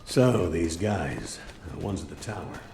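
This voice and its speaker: An actor playing a weary, principled old American cop and father in a game cutscene, measured and plain-spoken. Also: deep voice, expressive, creaky, exaggerated voice. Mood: grave. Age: older. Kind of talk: video game cutscene acting. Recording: music under the dialogue.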